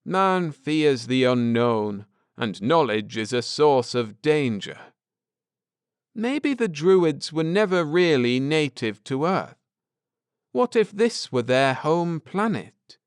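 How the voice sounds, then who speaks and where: A man voicing a grim, intense space-travelling husband in an audiobook, lecturing gravely and earnestly with drama. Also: a little high, big pitch swings, slow pace, no room sound, studio quality, pitched above the performer's own voice. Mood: grim, foreboding.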